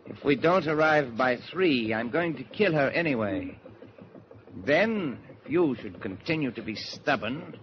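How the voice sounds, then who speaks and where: An actor playing a suave Nazi spy in a 1940s radio drama, formally courteous while coolly threatening. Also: male voice, medium pitch, expressive, unhurried, natural voice. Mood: cool menace. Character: suave, menacing male Nazi spy holding hostages. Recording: muffled, noisy 1949 radio broadcast.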